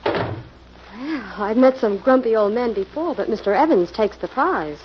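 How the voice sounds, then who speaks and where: A woman's voice: a little high, expressive, moderate pace, natural voice. A woman playing a curious, level-headed detective's assistant in an old-time radio drama. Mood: intrigued. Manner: curious, conversational. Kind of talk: 1940s radio acting. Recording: muffled, noisy old radio recording.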